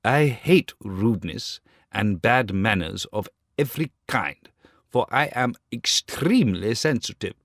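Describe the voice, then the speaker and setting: A man's voice: fairly low pitch, expressive, unhurried, a bit bright, no room sound, studio quality, pitched below the performer's own voice, full character voice. A man playing a pompous, vain firework rocket. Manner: pompous, self-important, indignant.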